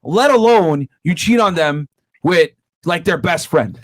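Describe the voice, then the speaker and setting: This man in his thirties sits at a podcast mic and lectures his viewers, calling them guys again and again. His voice sits a bit high, and the room is very dry and close. He keeps the tone easy and conversational. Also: animated, a little slow, dry recording. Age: 30s. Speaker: podcast co-host. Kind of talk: casual podcast chat.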